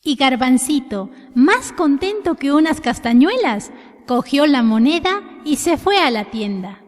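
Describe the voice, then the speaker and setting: A woman's voice: high-pitched, expressive, unhurried. A woman telling a children's story expressively from a script, addressing young listeners directly. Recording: long reverberant echo.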